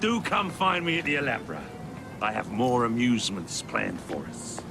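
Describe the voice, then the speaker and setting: An actor playing a theatrical, silky and menacing middle-aged Victorian crime lord with a British accent in a video game. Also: male voice, mid-range voice, very animated, moderate pace, vocal fry. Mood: gleeful, conspiratorial. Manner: theatrical, grandiloquent, swooping delivery. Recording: loud music behind the voice.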